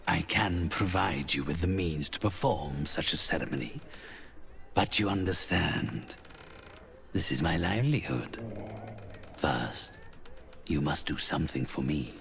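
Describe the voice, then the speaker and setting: An actor playing a haughty, cold, mercenary necromancer in a fantasy game, speaking slowly with theatrical condescension. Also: male voice, extremely low pitch, expressive, vocal fry, fairly bright tone, heavily acted. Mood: cold, businesslike. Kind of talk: cinematic fantasy game dialogue.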